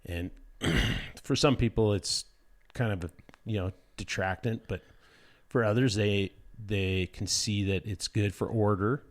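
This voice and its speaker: Podcast guest: American man, lower-pitched, deadpan humor, blunt slangy delivery in casual conversation. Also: unhurried. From United States. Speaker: podcast guest, dirt bike rider. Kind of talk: casual chat.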